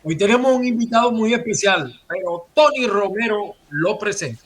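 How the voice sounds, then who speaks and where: A man's voice: high-pitched, expressive, normal speed, fairly bright tone, low-quality recording. A man from Venezuela hosting a political talk show, formal and broadcast-like as he greets listeners and questions a guest. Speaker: host.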